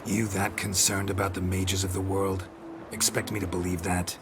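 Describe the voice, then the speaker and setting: A man's voice: deep voice, normal speed, noisy background, close to an everyday voice. A man playing a stoic, world-weary monster hunter in a video game cutscene, speaking in terse, dry lines. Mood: dry, skeptical. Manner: terse, deadpan.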